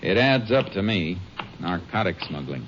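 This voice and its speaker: A low-voiced Texas Ranger investigator, American, shrewd and matter-of-fact. He talks in terse deductions, in old western radio drama style, on a muffled, noisy vintage recording. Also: sing-song, normal speed. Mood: matter-of-fact, reasoning.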